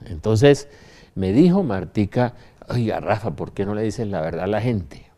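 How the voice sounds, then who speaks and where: Older Colombian man, low mellow voice. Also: animated, normal speed. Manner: anecdotal, emphatic, rhetorical questions. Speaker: religious speaker. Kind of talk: informal religious talk to an audience.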